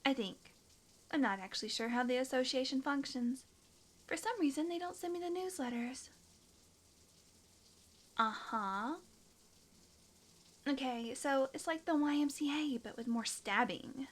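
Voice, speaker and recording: A woman's voice: high voice, measured pace, pauses often. A woman acting a sly, sarcastic, flirtatious female vampire in an intimate audio roleplay, teasing and sometimes dropping into a murmur. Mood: sardonic, teasing.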